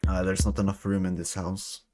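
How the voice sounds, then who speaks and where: A man's voice: deep voice, monotone, fairly quick. A man chatting casually while playing on a stream, thinking aloud somewhat hesitantly. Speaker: gamer on a group stream. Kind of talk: casual chat while gaming. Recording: music behind the voice. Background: music.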